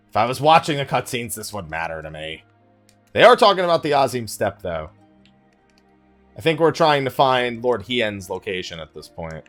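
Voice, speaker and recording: A man's voice: medium pitch, a bit bright. A man doing casual let's play commentary as a streamer, talking half to himself as he plays. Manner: talks to himself while playing. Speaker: video game streamer. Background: game music.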